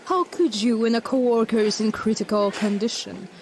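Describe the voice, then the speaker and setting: A man's voice: high-pitched, steady tone, normal speed, creaky, slight background noise, poor audio. An actor playing a prim, reproachful male coworker in an audio drama.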